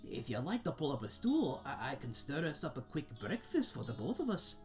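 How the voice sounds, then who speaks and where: Man acting a folksy old tavern keeper, animated pitch. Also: brisk, soft background music. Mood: hospitable, joking. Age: older. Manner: rambling, folksy, hesitant, chuckling aside to a guest. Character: friendly old male tavern keeper, folksy, chatty, self-deprecating. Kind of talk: fantasy audio roleplay, addressed to the listener.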